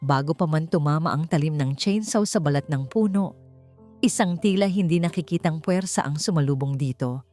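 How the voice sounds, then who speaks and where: Low-voiced Filipino woman narrating over music. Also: darkish tone. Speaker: audiobook narrator. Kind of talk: dramatic scripted story narration.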